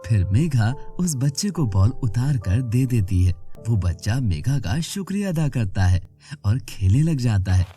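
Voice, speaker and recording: Low, mellow woman storyteller, lively pitch. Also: unhurried, soft background music. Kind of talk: scripted narration of children's moral story.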